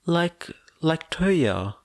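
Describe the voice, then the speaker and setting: Gentle, anxious young woman played by a man. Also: a little high, very animated, dry recording, voice pushed up, full character voice. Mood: flustered. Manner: hesitant, soft-spoken, halting. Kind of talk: audiobook character dialogue.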